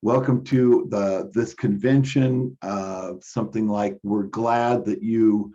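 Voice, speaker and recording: A man's voice: on the low side, fairly even intonation, slow pace, mellow. A man offering suggestions casually as a participant in a meeting. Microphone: remote teleconference call. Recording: dull, lo-fi conference call audio.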